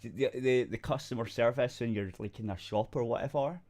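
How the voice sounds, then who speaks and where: A woman's voice: rumbling low, normal speed, mellow. A woman co-hosting a podcast, talking casually in a laid-back, blunt way. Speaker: podcast co-host. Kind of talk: casual chat.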